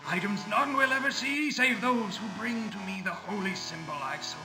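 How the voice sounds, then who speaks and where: A high-pitched, bitter old paladin ghost, as a man voices him in a retro video game. He speaks in solemn, grandiose tones. The voice rings out with echo over plucked guitar music. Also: normal speed. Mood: commanding.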